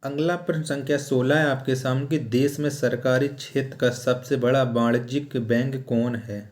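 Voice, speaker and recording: A man's voice: mid-range voice, flat delivery, moderate pace. A man teaching in a quiz-style lecture, posing short questions and repeating the answers. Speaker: teacher for competitive exam general knowledge.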